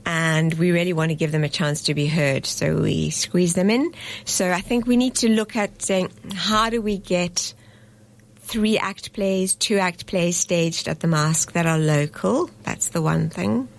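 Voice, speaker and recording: A woman's voice: on the low side, fairly even intonation, measured pace, gravelly. Earnest woman guest on a radio show. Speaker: festival director. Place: radio studio.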